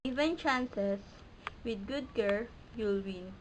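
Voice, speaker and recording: A woman's voice: mid-range voice, slow pace, background noise, close to an everyday voice. A woman playing a grave, matter-of-fact doctor in an amateur classroom puppet play.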